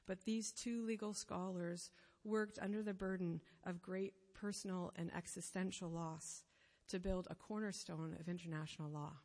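A woman giving a sermon, telling a story in an earnest, measured way, pausing phrase by phrase. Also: lowish voice, fairly even intonation, a little mellow. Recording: long reverb of a hall.

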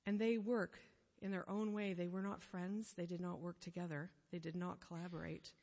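A woman giving a sermon, telling a story in an earnest, measured way, pausing phrase by phrase. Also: on the low side, level tone, a little mellow. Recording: long reverb of a hall.

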